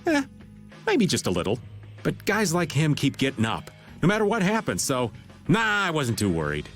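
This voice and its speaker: A wisecracking, easygoing middle-aged video game rogue. His speech swings widely in pitch, with a loose, playful drawl and theatrical grumbling. Faint music plays behind him. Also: huge pitch swings, moderate pace, a bit bright, heavily acted. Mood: breezy, teasing. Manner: colloquial, playful drawl with comic complaining. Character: joking, easygoing middle-aged man, self-styled old-timer. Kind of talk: animated game voice acting.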